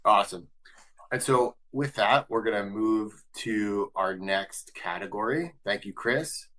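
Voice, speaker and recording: A man's voice: on the low side, a little slow, pushed and bright. A man hosting a business webinar, asking long, loose questions full of fillers. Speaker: webinar host.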